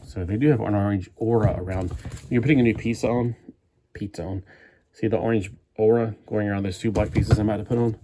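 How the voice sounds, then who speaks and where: A man's voice: lowish voice, steady tone, brisk, noisy background. A man chatting at home as he builds a toy kit live, meandering and thinking aloud with frequent restarts. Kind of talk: rambling live-stream chat while building.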